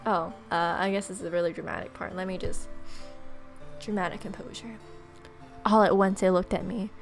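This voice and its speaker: A woman's voice: on the low side, fairly even intonation, quick pace, vocal fry. A young woman reading visual novel narration aloud as a let's player. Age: young adult. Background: game music.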